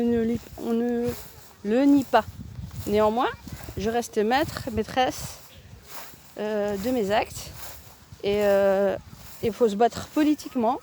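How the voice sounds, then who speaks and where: A woman's voice: a little high, dark tone, a little hiss or hum. A woman from France talking on an outdoor walking vlog, emphatic and repetitive, often restarting her words. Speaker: vlogger. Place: outdoors on a walk in a town.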